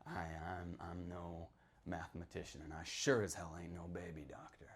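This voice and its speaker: A man performing a dramatic reading as a haunted, folksy small-town man who tells his tale in halting, rueful asides. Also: low-pitched, fairly even intonation. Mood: wry, emphatic. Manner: folksy, halting, rhetorical asides, swings between hush and outburst. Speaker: author reading from his novel. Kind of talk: theatrical dramatic reading, first-person monologue.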